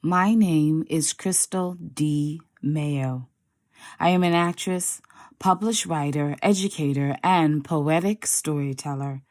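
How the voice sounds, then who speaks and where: A woman from New York with an American accent, reading a prepared piece as a poet with deliberate, theatrical phrasing. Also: sits low, darkish tone. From New York, United States. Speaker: poet, actress and storyteller. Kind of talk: scripted self-introduction and poetry recital.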